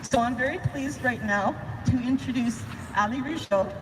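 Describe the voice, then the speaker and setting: A woman's voice: fairly high pitch, normal speed. A woman hosting an outdoor rally, introducing the next speaker in a welcoming, conversational way. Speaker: event emcee. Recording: distant echoey PA with street noise.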